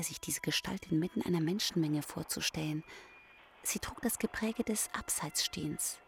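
Actress as a measured, contemplative female narrator. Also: medium pitch, natural voice. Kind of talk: literary narration in radio play.

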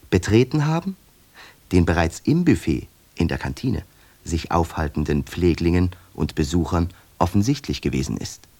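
An actor playing an asylum inmate who narrates a radio play in a measured, obsessive, self-correcting way. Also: male voice, sits low, darkish tone.

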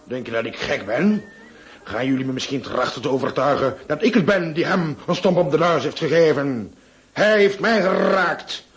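Acteur als trotse, heetgebakerde Turk, hoge stem. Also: male voice, on the high side, expressive, moderate pace, faint music behind, natural voice. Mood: wounded, indignant. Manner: indignant, theatrical, emphatic. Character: proud, hot-headed Turkish man demanding a duel. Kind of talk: radio drama acting.

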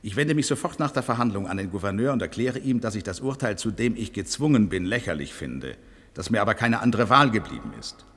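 Resigned judge in a reverberant hall. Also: male voice, fairly low pitch, lively intonation, a bit bright, breathy edge. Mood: bitter irony. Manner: formal, emphatic, measured. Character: resigned judge, candid and bitter about computerized justice. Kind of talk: German radio play acting.

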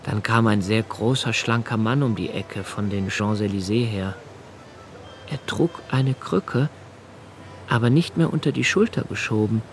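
An actor playing a solitary, brooding young poet of twenty-eight who narrates his own thoughts in a literary radio drama, in a slow, measured, contemplative way. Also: mid-range voice, close to an everyday voice. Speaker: first-person narrator of a radio play.

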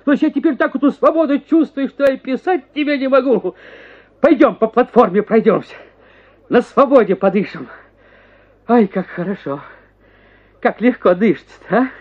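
An actor voicing a henpecked prosecutor, a self-pitying husband who grumbles and exclaims theatrically in a radio story. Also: male voice, extremely high pitch, normal speed, long pauses, lo-fi sound, voice pushed up. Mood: gleeful, excited. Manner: plaintive grumbling that bursts into excited exclamations.

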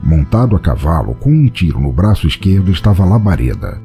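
A man's voice: sits low, very animated, a little fast, warm tone, faint music behind. A Brazilian man hosting and narrating a podcast from a script, with a wry, theatrical manner.

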